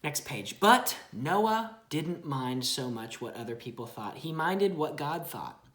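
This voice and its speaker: A woman's voice: sits low, lively intonation, slow, fairly bright tone, roomy sound. A woman reading a children's Bible story aloud expressively, chatting with a young child and asking simple questions.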